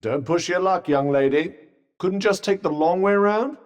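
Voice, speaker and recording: A man's voice: sits high, moderate pace, long reverb tail, lo-fi sound, natural voice. A man voicing a sardonic talking bracelet. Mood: wry. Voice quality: dry, sardonic, posh. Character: sardonic talking magic bracelet, male, dry-witted. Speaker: companion character. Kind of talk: game voice lines.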